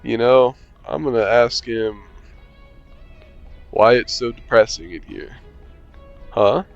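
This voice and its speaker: A man's voice: medium pitch, fairly even intonation, takes it slowly, vocal fry, dull audio, soft background music. A man reading a visual novel aloud for a let's-play, chuckling and adding wry asides. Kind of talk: casual let's-play narration.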